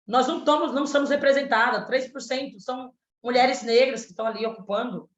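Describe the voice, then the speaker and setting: A woman's voice: fairly high pitch, quick pace. A woman in her thirties from Pernambuco, a candidate for the state legislature, answering in a panel debate with an earnest, activist way of speaking and frequent tag questions. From Pernambuco, Brazil. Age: 30s. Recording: roomy, dull lo-fi sound.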